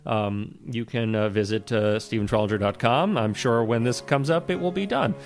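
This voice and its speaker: A man co-hosting a podcast with casual comedic banter, halting and self-deprecating in his delivery. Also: mid-range voice, creaky, faint music behind. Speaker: podcast co-host.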